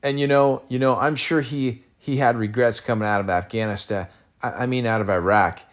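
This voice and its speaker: A man reading out transcript excerpts in a measured, matter-of-fact way. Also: medium pitch, steady tone.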